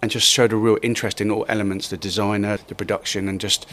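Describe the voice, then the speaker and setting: A man's voice: lowish voice, little pitch movement, fast, fairly bright tone. A middle-aged man from Wales, a guest telling casual stories about his career, often swearing and acting out what people said. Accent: English, lost Welsh accent. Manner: swearing, laughing, acts out quotes. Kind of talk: casual interview storytelling.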